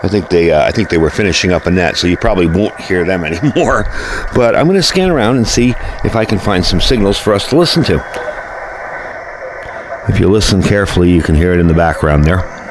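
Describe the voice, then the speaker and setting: A man's voice: low-pitched, a little fast, noisy background. A middle-aged American man giving a casual, informal product review, explaining things as he goes. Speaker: gear review host. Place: indoor workbench, later outdoors by the house.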